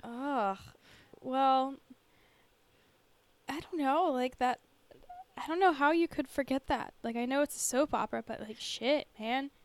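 A woman's voice: sits high, a little slow, pauses often. A woman podcast co-host in casual chat, asking questions and reacting in an informal, exclaiming way.

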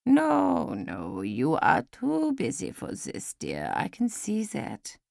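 A woman voicing a frail elderly woman who speaks formally and with gentle insistence, in an audiobook story. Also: medium pitch, wildly swooping pitch, gravelly, no room sound, studio quality, exaggerated voice. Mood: kindly. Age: older. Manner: measured, formal, gently insistent speech with few contractions.

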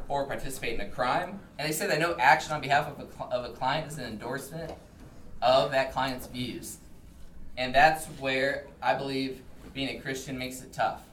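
A man's voice: mid-range voice, a bit bright. A man giving a public talk, speaking as a presenter to listeners. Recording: echoey distant room recording, faint noise.

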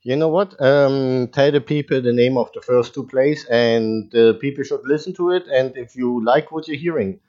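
A man's voice: medium pitch, moderate pace, few pauses, a little mellow, dull audio. A man co-hosting a podcast, chatting casually with dry teasing humor and frequent laughter. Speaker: podcast co-host.

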